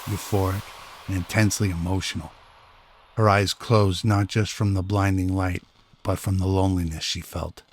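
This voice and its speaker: A man's voice: deep voice, a little hiss or hum. A man reading scripted narration for a radio-style drama. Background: music.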